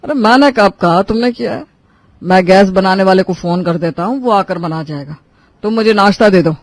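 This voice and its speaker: A woman's voice: mid-range voice, normal speed, no room sound, natural voice. A woman narrator plays an exasperated husband in a cartoon tale. He grumbles with sarcasm and sounds thoroughly fed up, in a dry recording. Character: exasperated, nagging husband fed up with stale food. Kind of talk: Hindi cartoon moral story dialogue.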